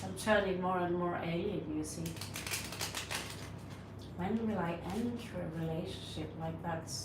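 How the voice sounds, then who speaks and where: A blunt woman with a Norwegian accent chats with her livestream viewers in a sardonic, scolding way. She sits back from the mic in an echoey room with steady background noise. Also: lowish voice, unhurried, recorded from a distance. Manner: blunt, scolding, sarcastic asides to chat. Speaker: tarot reader livestream host. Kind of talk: casual live-stream chat answering viewers.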